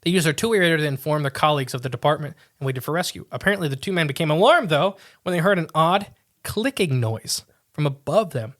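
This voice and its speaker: A man reads a creepy story aloud as a podcast co-host, his voice sitting a bit higher than most men's. He trips over words and restarts often, then breaks into casual asides. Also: expressive, fast talker, fairly bright tone. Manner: stumbles and restarts often while reading.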